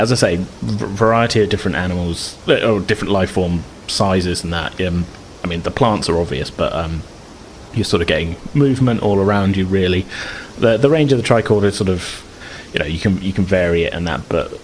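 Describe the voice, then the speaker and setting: A man's voice: low-pitched, brisk, slight background noise, low-quality recording. A man working as game master, improvising narration for a tabletop game in a hesitant, hedging way. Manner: hesitant, hedging descriptions with "sort of".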